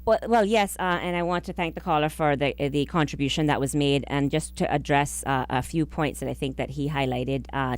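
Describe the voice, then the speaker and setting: A woman's voice: a bass voice, dry recording. A woman, speaking formally and carefully as a political guest, close on a studio microphone. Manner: formal, careful, halting phrasing. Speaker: government minister, studio guest. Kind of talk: political radio interview. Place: small radio studio. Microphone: close broadcast microphone on boom arm.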